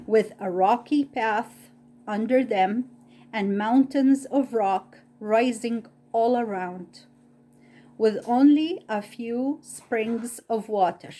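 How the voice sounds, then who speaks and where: A woman from Egypt with an Egyptian accent and Arabic as her first language, reading Bible stories aloud in careful, halting English. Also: on the high side, mellow. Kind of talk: slow read-aloud storytelling.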